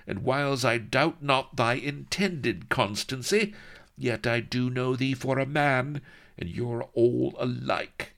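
A man voicing a haughty, jealous high-bred English lady who scolds indignantly. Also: vocal fry, a bit bright.

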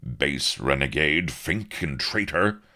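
A man's voice: extremely low pitch, expressive, takes it slowly, sharp tone, heavily acted. A man playing a pompous archaic captain. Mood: scornful. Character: haughty stiff-necked male pirate-hunter captain.

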